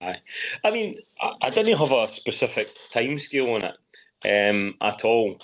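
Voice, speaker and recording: A man's voice: medium pitch, sing-song, moderate pace. A man with a Scottish accent answering questions as an interview guest in a hesitant, matter-of-fact, conversational way. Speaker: podcast guest, pipe band lead drummer. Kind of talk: casual interview chat. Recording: slightly dull, muffled audio.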